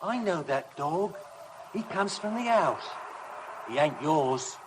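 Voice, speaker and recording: A man's voice: fairly high pitch, lively intonation, slow pace. An actor playing a furtive, simple-minded rustic man with childlike phrasing in a 1950s radio drama. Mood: wary. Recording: noisy vintage radio broadcast.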